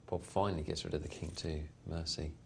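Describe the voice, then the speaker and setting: A man's voice: rumbling low, steady tone, a little fast, gravelly, a little hiss or hum. A man hosting a live poker show, commenting on hands in a chatty, hesitant way with lots of fillers. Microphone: studio mic.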